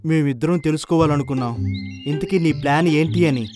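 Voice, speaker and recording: A man plays an earnest cartoon bull who feels wronged and indignant. The voice is fairly high, over light background music. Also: fast talker, close to an everyday voice. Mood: defiant. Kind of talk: cartoon moral story voice acting.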